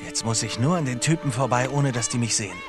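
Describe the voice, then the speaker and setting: An actor playing a scrappy, quick-witted young treasure hunter in a dubbed video game, casual, wry and expressive. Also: male voice, medium pitch, close to an everyday voice. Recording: music behind the voice.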